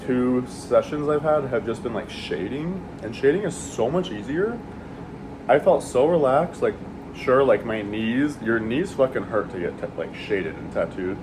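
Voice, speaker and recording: A man in a relaxed, rambling flow, dropping casual profanity and filler words as he talks while eating. His voice sits at an ordinary middle pitch. A clear, steady air-conditioning hum runs underneath the whole recording. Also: normal speed. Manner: laid-back, rambling, swears freely, many fillers. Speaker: vlogger eating on camera.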